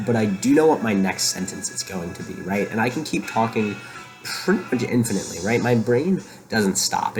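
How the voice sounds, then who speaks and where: A young man hosting a rambling solo monologue from a room in a shared house, talking quietly and musing aloud with lots of fillers. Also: fairly low pitch. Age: young adult. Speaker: podcast host. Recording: quiet home recording with music behind. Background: faint music playing.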